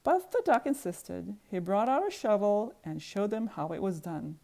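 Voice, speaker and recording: A woman reading a children's book aloud in expressive narration, stressing repeated words rhythmically. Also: mid-range voice, moderate pace, gravelly.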